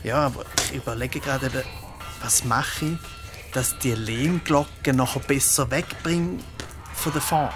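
Guy doing a friendly swiss monk workman, mid voice, thinks out loud, kids radio drama with music. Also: mid-range voice, measured pace, natural voice. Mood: musing. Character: good-natured monk craftsman, thoughtful, easily amused. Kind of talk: radio play acting for children.

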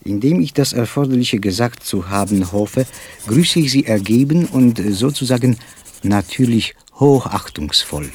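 An actor playing an eccentric, self-satisfied and courteous poet, reciting formally and deliberately in a theatrical style. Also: male voice, mid-range voice, animated, darkish tone, a little hiss or hum, natural voice. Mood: ceremonious. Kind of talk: theatrical literary recitation with music. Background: musical instruments.

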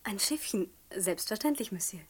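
A woman's voice: mid-range voice, animated, normal speed, breathy edge. An actress playing a polite, obliging shop saleswoman who is easily amused, in a 1960s radio comedy. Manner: courteous, obliging.

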